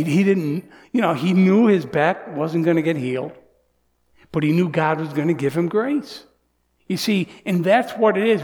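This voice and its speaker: A man in his sixties preaching a sermon as pastor, earnest, dropping to soft near-whispers and rising to emphatic lines. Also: high-pitched. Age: 60s. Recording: long hall reverb.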